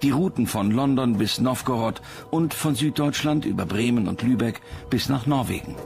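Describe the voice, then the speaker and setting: Male narrator for scripted documentary, creaky mid-pitched voice, music underneath. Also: animated, moderate pace, darkish tone.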